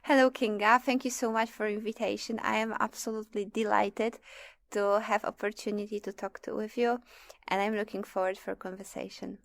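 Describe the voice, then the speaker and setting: A woman in her twenties with a Polish accent and Polish as her first language. Her voice sits at an average pitch and carries a strong gravelly creak. She talks conversationally, telling personal stories as a guest being interviewed. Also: fairly even intonation, fairly quick. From Poland. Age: 20s. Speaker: interview guest, PhD student.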